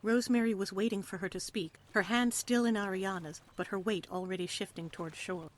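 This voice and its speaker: Expressive woman narrating a tale. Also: mid-range voice, brisk. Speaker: narrator, reader. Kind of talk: dramatic scripted storytelling narration.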